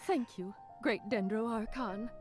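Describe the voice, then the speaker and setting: A woman's voice: fairly high pitch, unhurried, close to an everyday voice. A woman voicing a polite, helpful clerk in a video game, speaking courteously and formally. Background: game music.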